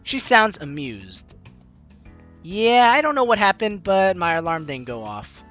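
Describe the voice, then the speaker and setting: A woman's voice: mid-range voice, sing-song, measured pace, a bit bright, dull audio, faint music behind. A young woman hosting a game playthrough, reading the story aloud expressively and commenting as she goes, close on the mic. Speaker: let's play host.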